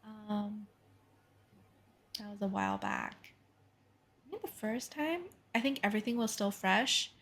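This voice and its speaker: A woman's voice: medium pitch, expressive, a little slow, long pauses, fairly bright tone, slight background noise. Young woman podcast cohost, north american accent, chatty and rambly, lots of likes and ums. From Taiwan. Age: 20s. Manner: chatty, many fillers and quoted asides. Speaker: podcast co-host. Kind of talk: casual chat.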